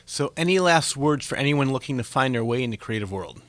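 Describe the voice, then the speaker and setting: A man's voice: medium pitch, animated, brisk, no echo. A man hosting a conversational radio-style interview show, talking with a guest.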